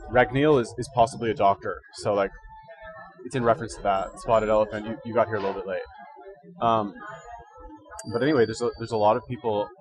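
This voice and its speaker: A man with a slightly congested voice, hosting a casual livestream and rambling through fitness advice in slangy, informal talk. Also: on the low side. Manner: slangy, informal, thinking aloud. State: recently woken up. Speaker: livestream host giving fitness and diet advice.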